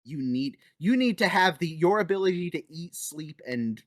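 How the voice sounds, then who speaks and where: A man hosting a podcast in a relaxed, conversational way, often trailing off quietly. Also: fairly high pitch, normal speed, no echo, clean studio sound. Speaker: podcast host. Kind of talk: casual podcast chat.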